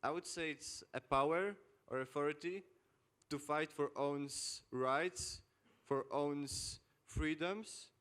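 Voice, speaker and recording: A man's voice: fairly high pitch, slow, fairly bright tone, clear, resonant tone. A young man, introducing himself semi-formally as a student panelist. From Poland. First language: Polish. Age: late teens.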